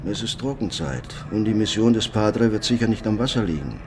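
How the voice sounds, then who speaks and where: A grimly authoritative local man speaks in radio drama dialogue. His voice is on the low side, with faint background noise. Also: lively intonation, fairly quick, darkish tone. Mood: grave, knowing. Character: knowing male local adviser, grimly authoritative.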